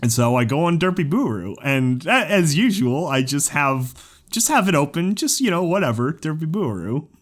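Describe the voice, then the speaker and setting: A man's voice: on the high side, sing-song, normal speed. A man who works as a voice actor, casually telling a behind-the-scenes story and often breaking into giggles. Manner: giggly, breaks into laughter while talking.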